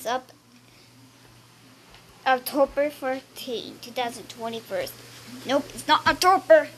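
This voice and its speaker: A woman's voice: sits high, a little slow. A woman giving a casual, exclamatory reaction commentary, repeating phrases and muttering asides. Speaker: reaction video creator. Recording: very noisy home recording, clicks.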